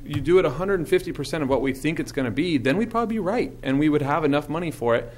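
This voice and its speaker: A man speaking as a city councilmember at a council meeting, measured and deliberative. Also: fairly high pitch, lively intonation.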